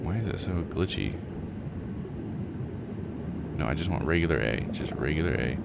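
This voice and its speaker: A man's voice: a bass voice, lively intonation, moderate pace, vocal fry, very noisy. A man hosting a livestream of a video game, thinking aloud in casual, slangy commentary. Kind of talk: casual gaming stream commentary.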